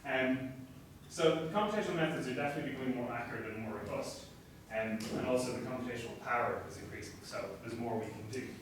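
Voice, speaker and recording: A man's voice: mid-range voice, fast talker, hardly any pauses. Irish man lecturing in an echoey room. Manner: relaxed, self-deprecating, frequent fillers. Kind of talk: academic conference talk. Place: seminar room. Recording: echoey room from far off, noisy.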